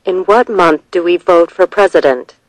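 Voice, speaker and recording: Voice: medium pitch, moderate pace, dry recording, poor audio. Dry, close reader of quiz questions. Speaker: test study narrator. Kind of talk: scripted reading of civics questions and answers.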